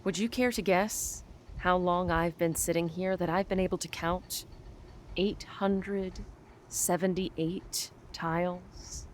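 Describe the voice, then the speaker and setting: Lady doing a fed up soul stuck waiting, slow careful words, dry simmering annoyance, radio play style. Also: on the low side, fairly even intonation, slight background noise, voice pushed down. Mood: weary, restrained. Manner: measured, precise, dryly exasperated. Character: impatient soul kept waiting, counting tiles, simmering. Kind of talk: audio drama monologue.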